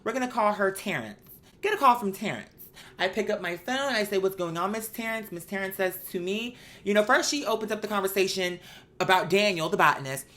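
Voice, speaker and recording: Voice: mid-range voice, lively intonation, brisk, a bit bright. A host telling a story in a casual monologue, with a sassy, candid, profane and emphatic manner. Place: bedroom. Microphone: microphone.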